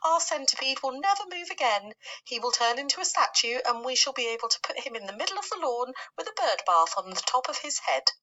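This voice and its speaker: A woman playing a morbid male earthworm in a children's storybook, brightly relishing grim ideas. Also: high-pitched, sing-song, fairly quick, few pauses, voice pushed up, full character voice, rough recording. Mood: gleeful. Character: male earthworm, gloomy by nature, gleefully morbid.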